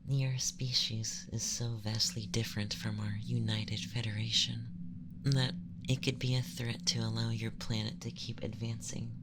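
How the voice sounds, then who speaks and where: An ASMR performer voicing a calm, condescending young male alien abductor in a breathy, often whispered, gentle and formal monologue. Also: medium pitch, moderate pace, heavy background noise, lightly acted. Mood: solemn, explanatory. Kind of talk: ASMR roleplay, scripted monologue.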